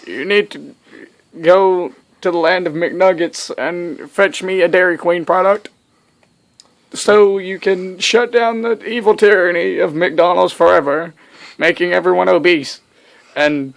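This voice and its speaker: A man's voice: sits high, vocal fry. A man voicing a little blue man who rants and declaims like a grand quest-giver in improvised comic storytelling. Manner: ranting, declamatory.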